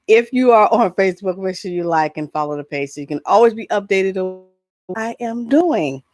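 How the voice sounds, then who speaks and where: A woman's voice: mid-range voice, animated, brisk, darkish tone, no room sound, clean studio sound. A middle-aged woman hosting a casual entertainment vlog, chatting loosely and often rambling off into asides. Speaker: host of an entertainment news show. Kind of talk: casual solo vlog chat with announcements.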